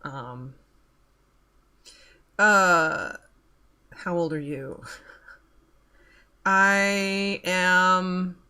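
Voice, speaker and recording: A woman's voice: fairly low pitch, steady tone, drawn-out words, long pauses, sharp tone, clear, resonant tone. A woman in her thirties chatting casually on a stream while she works, rambling and swearing offhandedly as she reads out the chat. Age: 30s.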